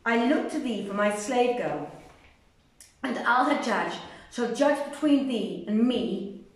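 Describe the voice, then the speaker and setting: A frantic young nobleman searches for his missing companion, read by a woman. He pleads and protests in a fairly high voice, and the sound rings with heavy room echo. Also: expressive, takes it slowly. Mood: indignant. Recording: very echoey room.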